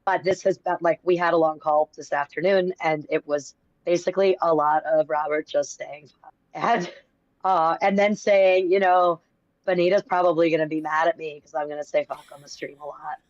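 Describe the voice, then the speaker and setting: A woman guest on a livestream, hoarse and scratchy today, chatting in a dry, joking way. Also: deep voice, steady tone, moderate pace, darkish tone, dry recording, highs cut off. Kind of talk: casual livestream chat.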